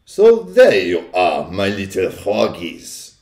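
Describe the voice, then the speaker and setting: A man acts out a menacing storybook witch, the grand villain of the tale. She is imperious and sinister, drawing out some words and snapping others, with big swoops in pitch. The sound is roomy. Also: wildly swooping pitch, darkish tone, full character voice. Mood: gloating menace. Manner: snapping, drawn-out sinister delivery.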